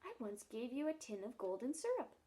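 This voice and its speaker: A woman's voice: a little high, very animated, brisk, warm tone. A woman voicing a curious young boy in a storybook reading, with an earnest, eager, questioning manner.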